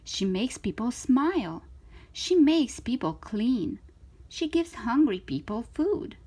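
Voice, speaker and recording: A woman reads a picture book aloud for children. Her voice sits on the high side and moves up and down with lots of expression. She is theatrical in delivery, leaning on key words and drawing them out. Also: sing-song, slow, warm tone, breathy edge. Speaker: storytime reader of children's books.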